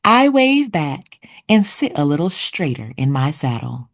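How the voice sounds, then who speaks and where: A woman reading a children's picture book aloud expressively, with deliberate storytelling pauses. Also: deep voice, very animated. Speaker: children's read-aloud narrator.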